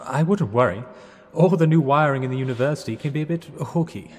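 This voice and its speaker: A man's voice: medium pitch, brisk, darkish tone, lightly acted. An actor playing a bumbling, apologetic Englishman in a period radio drama, with an English accent and a hesitant, flustered, polite way of talking. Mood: awkward, reassuring. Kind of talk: 1920s-set radio drama acting. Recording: reverberant hall-like space.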